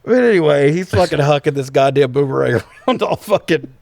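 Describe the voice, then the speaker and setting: A man's voice: on the high side, lively intonation, brisk, a little mellow, no room sound. Irreverent, swearing man in a dry room. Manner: irreverent, heavily profane. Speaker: podcast co-host. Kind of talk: casual comedic movie recap.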